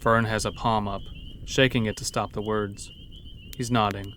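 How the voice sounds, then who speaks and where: A man's voice: on the low side, level tone, normal speed, fairly bright tone, slight background noise. A man narrating a scripted first-person horror story in a dramatic storytelling manner. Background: background music.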